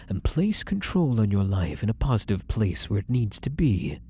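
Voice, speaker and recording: A man playing an obsessively loving, possessive fake psychiatrist in an ASMR roleplay, coaxing tenderly in a soft murmur that slips into whispers. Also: fairly low pitch, husky. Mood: possessive, reassuring.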